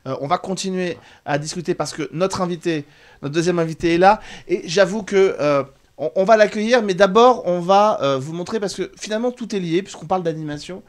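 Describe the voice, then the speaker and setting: Guy hosting a talk show, kinda high voice, talks fast, trips back over his phrasing. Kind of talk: unscripted studio presenting.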